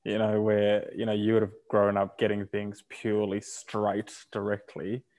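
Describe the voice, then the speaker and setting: A man's voice: lowish voice, monotone, moderate pace, a little mellow. A man hosting an interview, asking long, loose questions full of fillers. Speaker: interview host. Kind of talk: casual interview chat.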